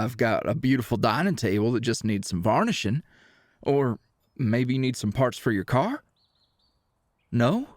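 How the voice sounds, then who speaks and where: An actor playing a friendly, awkward, protective rancher with a Southern US accent and hesitant, stammering, folksy speech in an ASMR roleplay. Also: male voice, medium pitch, dry recording, clean studio sound.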